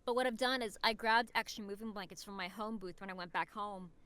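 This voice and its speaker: A woman's voice: mid-range voice, fairly quick, fairly bright tone, clear, resonant tone, no room sound. A woman voice actor chatting casually as a guest in a podcast interview.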